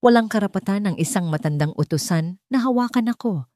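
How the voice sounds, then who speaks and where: A woman's voice: medium pitch, animated, brisk, dark tone, no echo, studio quality, lightly acted. A woman voicing an arrogant, venomous rich woman, accusing and indignant, in an audio drama. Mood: enraged.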